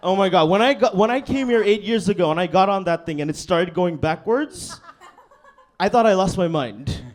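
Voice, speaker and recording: A young man from Bangladesh performs a stand-up set in a comedy club, his voice sitting a little higher than most men's. He delivers his lines in a laid-back, deadpan way, often tagging them with "you know what I mean". The sound comes through a handheld stage mic. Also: normal speed.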